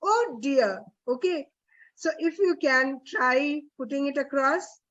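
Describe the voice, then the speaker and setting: A woman coaches readers politely and encouragingly, often restarting her sentences. Her voice is high, and the recording is slightly muffled and lo-fi. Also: expressive, slow, fairly bright tone. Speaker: reading workshop facilitator. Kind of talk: coaching a reader's theatre session.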